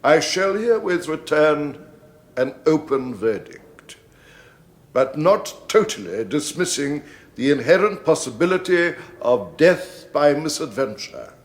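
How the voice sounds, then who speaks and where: A slow, formal coroner. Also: male voice, on the high side, sing-song, exaggerated voice. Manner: measured, official.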